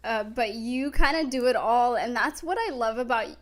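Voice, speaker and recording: A woman's voice: a little high, animated, normal speed. A woman hosting a casual interview, talking in an effusive, gushingly enthusiastic way. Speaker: talk show host.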